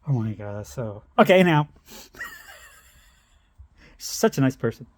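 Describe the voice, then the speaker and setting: A man's voice: mid-range voice, sing-song, measured pace, darkish tone, no room sound. A middle-aged man telling a meandering personal story, interrupting himself and laughing at his own tangents. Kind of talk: rambling personal anecdote.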